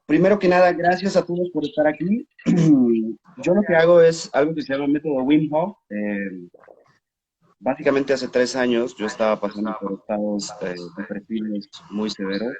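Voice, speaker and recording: A man's voice: mid-range voice, a little mellow, slightly rough, muffled recording. A man from Honduras, a guest speaking earnestly and openly, with some fillers, over a video call. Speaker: guest, breathing method instructor. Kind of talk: conversational interview answers.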